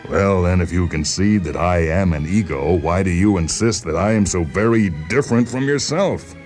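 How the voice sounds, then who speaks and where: A man playing a calm, reasoning doctor in a dramatized story, measured, hesitant and placating. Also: deep voice, soft background music, close to an everyday voice. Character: calm, reasoning male doctor humoring a troubled patient. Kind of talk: dramatized short story reading.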